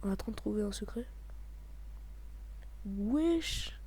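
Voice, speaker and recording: A man giving casual gameplay commentary, talking in a hushed, half-whispered way, excitable and slangy, repeating his exclamations. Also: high voice, takes it slowly, husky. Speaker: gaming commentator.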